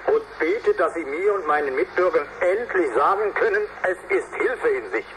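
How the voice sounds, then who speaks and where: An actor playing a desperate, pleading mayor of a trapped town, calling over a radio link in a radio play. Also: male voice, on the high side, lively intonation, normal speed, fairly bright tone, rough recording. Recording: faint noise, radio link.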